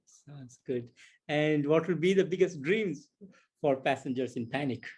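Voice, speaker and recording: A man's voice: fairly high pitch, unhurried, a little mellow, breathy edge. A man conducting a casual music interview, encouraging the guest with short repeated affirmations.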